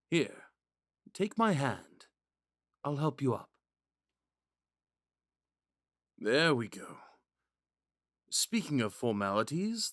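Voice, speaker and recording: A man playing a gentle, smitten young prince in a romantic audio roleplay, musing and hesitant in a courteous way. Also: mid-range voice, no room sound, studio quality. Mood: gentle, charmed. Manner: musing, hesitant, courteous, with sighs and whispered asides.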